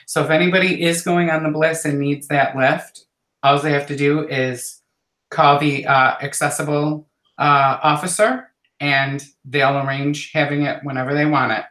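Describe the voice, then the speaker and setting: A man guesting on a livestream, explaining casually in halting phrases. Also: fairly high pitch, flat delivery, pushed and bright. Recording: roomy, echoing call audio.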